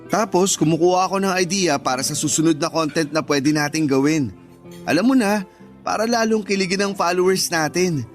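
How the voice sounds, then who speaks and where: Actor as young Filipino boyfriend, defensive yet eager to please, high male voice, radio drama over music. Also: fairly quick, close to an everyday voice. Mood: casual, eager.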